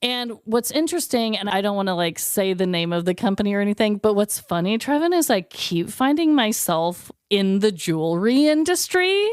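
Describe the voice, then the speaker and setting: A woman's voice: medium pitch, expressive, normal speed, hardly any pauses, smooth, no room sound, studio quality. A woman in her thirties co-hosting a podcast, chatting candidly with a lot of "like" and the odd swear word. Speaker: podcast co-host. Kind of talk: casual chat.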